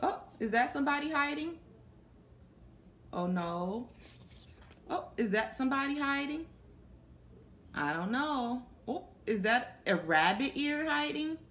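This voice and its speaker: A woman's voice: high voice, expressive, measured pace, pauses often, fairly bright tone. A young adult woman reading a picture book aloud to children, stopping to put questions to her young listeners. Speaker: children's story reader. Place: small plain room indoors.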